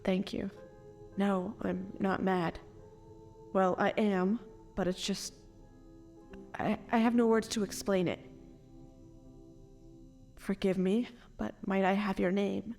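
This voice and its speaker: Resurrected, grieving mother for audio drama: slow, anguished delivery in long reverb, music beneath. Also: mid-range voice, fairly even intonation, vocal fry, a little mellow. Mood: subdued, conflicted. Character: grieving, stitched-together woman brought back from death.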